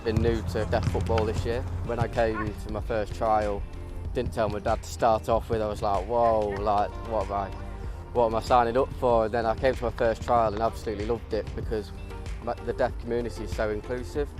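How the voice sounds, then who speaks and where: A young man who is a new football player talks casually in an interview. His voice is lowish and very flat. Music plays behind him. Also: brisk.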